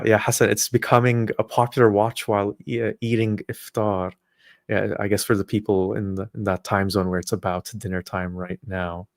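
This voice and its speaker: A man's voice: on the low side, no room sound, clean studio sound. A man from Palestine hosting a live call-in stream, chatting casually with callers, friendly and hesitant with many fillers.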